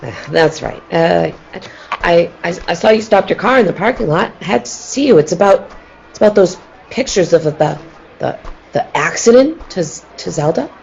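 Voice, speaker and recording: A woman playing a scheming, nervous, murderous circus tiger tamer in a radio play, with a halting way of talking. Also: fairly low pitch, lively intonation, gravelly, a little mellow. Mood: nervous, evasive. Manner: halting, stammering, repeating words. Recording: muffled, lo-fi audio with noise.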